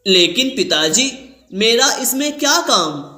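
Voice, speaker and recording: Man playing a high-voiced, modest young prince. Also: very animated, measured pace, sharp tone, echoey room, heavily acted. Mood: modest, puzzled. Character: modest, dutiful young prince, gentle son.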